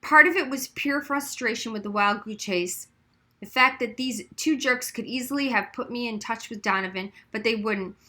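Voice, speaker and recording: A woman reads a story aloud with expressive narration. Her voice is fairly high and bright, suited to an audiobook. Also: moderate pace. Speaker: audiobook reader.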